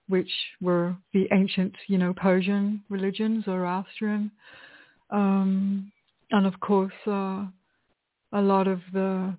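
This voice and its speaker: A woman from Greece, a guest poet in a relaxed interview, who speaks thoughtfully with many pauses and laughs often. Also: medium pitch. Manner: thoughtful, hesitant, laughs often between phrases. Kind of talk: conversational interview. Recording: tinny phone line.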